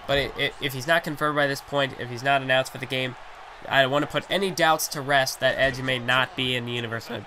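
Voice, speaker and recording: A man with a strained, hoarse voice that is starting to give out, yet still bright and sharp in tone. He rambles through play-by-play commentary on a wrestling video game, padding his thoughts with filler phrases. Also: mid-range voice, slight background noise. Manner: rambling, heavy on fillers like "you know".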